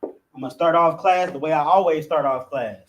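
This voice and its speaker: A man's voice: on the high side, normal speed, distant mic, slight background noise. Man teaching a class from off-mic. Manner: conversational, repeats "you know what I'm saying", frequent restarts; chants letter names loudly. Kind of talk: casual teaching of an alphabet class.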